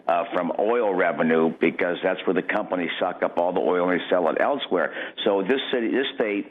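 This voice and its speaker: Low-voiced man on a tinny phone line. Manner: opinionated, blunt, repeats phrases for emphasis. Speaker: political candidate, radio guest. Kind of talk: off-the-cuff political interview.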